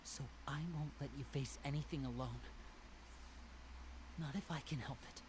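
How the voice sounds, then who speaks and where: An actor playing a young magician and protective older brother, speaking calmly and earnestly in a video game. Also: male voice, medium pitch, moderate pace, natural voice. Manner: calm, earnest, gently persuasive. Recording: game audio with clear noise behind.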